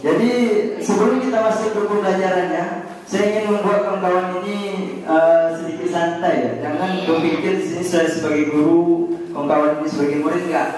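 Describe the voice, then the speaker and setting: A young man from Aceh with an Acehnese Indonesian accent, leading a calligraphy workshop in a relaxed, chatty way and putting questions to the students. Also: on the high side, a little fast, crowd noise. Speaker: calligraphy trainer. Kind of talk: casual workshop talk to students. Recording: echoey hall, voice from far off, students around.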